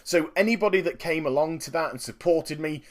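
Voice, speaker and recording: Slightly croaky upbeat man. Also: on the high side, fairly quick. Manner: upbeat, chatty, explains each move. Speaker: game streamer and commentator. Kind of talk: gameplay commentary.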